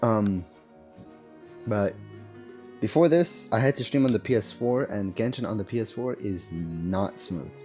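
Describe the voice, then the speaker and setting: Low-voiced man rambling on stream. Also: measured pace, pauses often, a little mellow, soft background music. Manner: rambling, full of fillers and self-corrections. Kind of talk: casual gaming stream chat. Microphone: laptop streaming setup.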